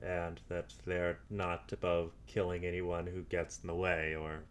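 A man playing a wary, methodical amateur investigator dictating audio logs in an audio drama, speaking deliberately and with some hesitation. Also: sits low, little pitch movement. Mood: guarded, suspicious. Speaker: podcast drama actor. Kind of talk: audio log monologue, audio drama.

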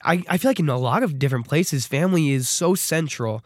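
Higher-voiced young male radio host. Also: expressive, a little fast, dry recording, clean studio sound. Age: young adult. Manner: upbeat, brief prompts. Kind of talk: radio interview hosting. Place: radio studio.

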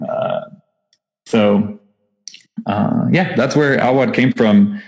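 A man's voice: medium pitch, takes it slowly, vocal fry, a little mellow, low-quality recording. A man with a Texas accent, founder of a nonprofit, talking casually and enthusiastically in an interview, with lots of fillers and restarts. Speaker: nonprofit founder, podcast guest.

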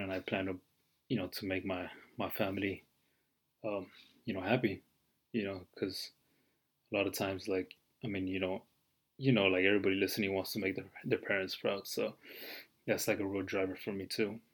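A man's voice: sits low, a bit bright. A man in his twenties hosting his own podcast, talking casually and rambling with lots of fillers and some swearing. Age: 20s. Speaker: podcast host. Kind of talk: casual solo podcast monologue.

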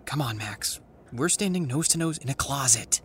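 A woman's voice: very deep voice, expressive, normal speed, a little hiss or hum. A woman playing an anxious, wry, sarcastic teenager in a naturalistic audio drama. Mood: awkward.

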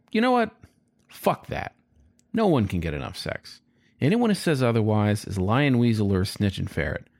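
Young male fox narrator, played by a man: lowish, dry close recording, cheeky, randy, wry, confiding audiobook delivery. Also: dry recording. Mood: brash, cheeky. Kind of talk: first-person audiobook storytelling.

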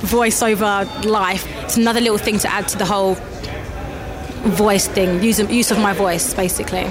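A 27-year-old woman with a husky, deep voice, a presenter and DJ chatting and joking about herself. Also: steady tone, normal speed, crowd noise. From South East London.

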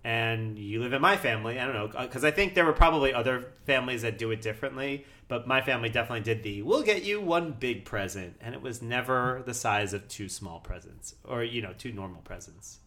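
A man in his forties hosting a podcast with friends, talking in a loose, chatty way. His voice is mid-pitched and bright. He teases his co-host and often laughs through his lines. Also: expressive, a little fast, few pauses. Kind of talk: casual chat.

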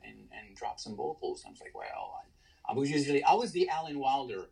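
A man in his thirties with a baritone voice, telling stories with enthusiasm and lots of restarts in a casual podcast interview. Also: wildly swooping pitch, a little fast, gravelly, crowd noise, low-quality recording. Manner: enthusiastic storyteller, many restarts. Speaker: guest, tribute band singer.

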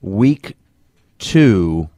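A man's voice: low voice, big pitch swings, very slow, mellow, no echo. A man co-hosting a sports podcast, talking football casually with strong opinions and emphatic, colorful phrasing.

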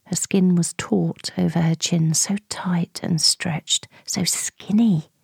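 A woman in her forties narrating a first-person radio play in short, hushed, confiding phrases with a breathy voice. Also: on the low side, steady tone, slow, dry recording. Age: 40s. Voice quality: breathy, husky. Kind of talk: intimate first-person dramatic monologue, present tense.

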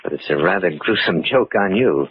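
A man's voice: low voice, animated, moderate pace, a little hiss or hum. An actor playing a stiff, suspicious businessman with formal, clipped phrasing in a 1940s radio mystery. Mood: reasoning, smooth. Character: stiff, suspicious businessman pressing his partner over a murder. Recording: dull lo-fi 1940s radio recording.

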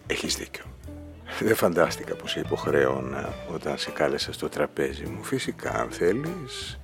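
An actor playing a smooth wealthy married businessman in a radio drama, talking unhurriedly and insinuatingly, often dropping to a breathy murmur. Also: very deep voice, very animated, over music, exaggerated voice. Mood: suave, seductive. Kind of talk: Greek podcast radio play acting.

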